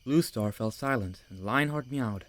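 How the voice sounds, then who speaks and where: A man's voice: mid-range voice, unhurried. A man reading scripted story narration as the narrator of an audiobook.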